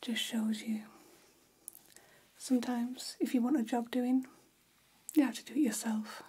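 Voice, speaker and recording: Female vampire salon stylist acted by a woman, ASMR roleplay: gossipy and catty, extremely breathy whisper, slow, confiding delivery. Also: on the high side, little pitch movement, mellow. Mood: hushed, conspiratorial. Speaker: ASMR performer. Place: small decorated room, indoors. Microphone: close ASMR microphone.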